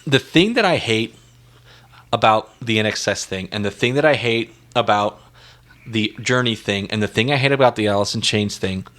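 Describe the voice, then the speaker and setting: A man co-hosting a casual music discussion, halting and repeating himself for emphasis. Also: lowish voice, a bit bright. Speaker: music podcast co-host.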